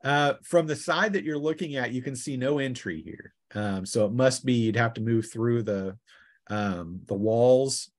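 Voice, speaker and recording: A man running a tabletop roleplaying session as game master, narrating scenes in a halting, drawn-out way. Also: on the low side.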